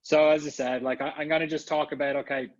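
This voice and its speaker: A man's voice: fairly high pitch, flat delivery, quick pace, dry recording, muffled recording. Chatty Irish man on a video call. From Ireland. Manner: chatty, many fillers and restarts. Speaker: guest speaker, marketing executive. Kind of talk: casual online summit talk.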